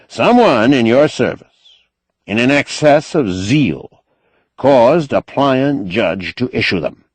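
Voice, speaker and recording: A man's voice: deep voice, dry recording, full character voice. A man voicing a wily, indignant, eloquent doctor with pompous, ornate oratory in an audiobook. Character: wily, indignant, eloquent male doctor, master of his house. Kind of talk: audiobook character acting.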